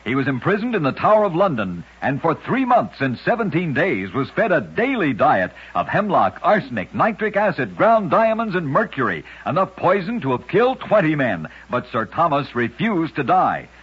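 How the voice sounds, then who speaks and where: A man hosting an old-time radio program, narrating scripted strange tales in a dramatic, deliberate storyteller's delivery. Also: on the high side, very animated, husky. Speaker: radio host and narrator of oddities. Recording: dull lo-fi vintage radio recording.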